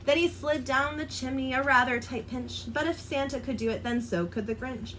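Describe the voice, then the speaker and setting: A woman reading a children's rhyming book aloud, in an expressive, sing-song delivery. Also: high-pitched, moderate pace, slight background noise, rough recording. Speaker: storyteller.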